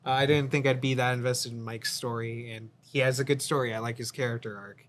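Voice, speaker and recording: A man's voice: mid-range voice, steady tone, a little fast, fairly bright tone, slight background noise. A man co-hosting a casual movie discussion, often hesitant and trailing off mid-sentence. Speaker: podcast co-host.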